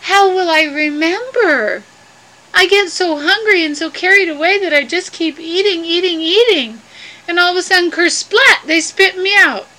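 A woman voicing an excitable, gullible, greedy male tree-climbing creature in a storybook tale, dramatic and drawing out words. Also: high-pitched, exaggerated voice, rough recording. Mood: worried, excited. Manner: dramatic, exclaiming, drawn-out words.

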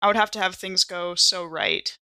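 A woman's voice: lowish voice, big pitch swings, moderate pace, gravelly, pushed and bright, no room sound. A woman chatting casually as a player in a tabletop role-playing game, thinking aloud in an informal way.

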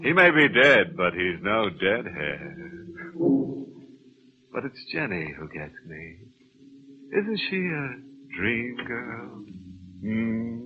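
An actor playing a gleefully macabre, wisecracking host of an old radio mystery show, sardonic and insinuating. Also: male voice, lowish voice, sing-song, slow, pauses often, slight background noise. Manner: sardonic, insinuating, punning, chuckling. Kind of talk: 1940s radio horror hosting and sponsor read. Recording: muffled old radio recording.

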